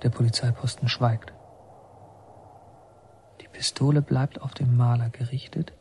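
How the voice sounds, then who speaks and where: An actor playing a reflective son who narrates a war story in a radio drama, measured and literary. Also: male voice, medium pitch, flat delivery, darkish tone, a little hiss or hum, close to an everyday voice.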